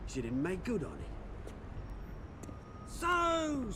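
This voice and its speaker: Cocky high-pitched British crime boss. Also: male voice, huge pitch swings, slow, noisy background, exaggerated voice. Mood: mocking. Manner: taunting, mock-polite, swaggering. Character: cocky, theatrical male crime boss with violent convictions. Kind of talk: dramatic video game acting.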